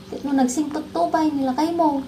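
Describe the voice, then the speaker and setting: A woman's voice: high-pitched, fairly even intonation, a little fast, dark tone, a little hiss or hum, close to an everyday voice, poor audio. A woman playing a blunt best friend in a comedy drama, chiding and handing out advice. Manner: chiding, advising. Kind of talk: Ilocano comedy drama acting.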